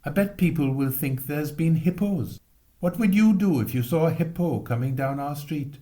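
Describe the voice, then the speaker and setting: A man voicing an excitable, imaginative young boy in a storybook, eager and animated. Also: on the high side, normal speed, pitched above the performer's own voice, natural voice. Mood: playful excitement. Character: excitable, imaginative young boys plotting mischief.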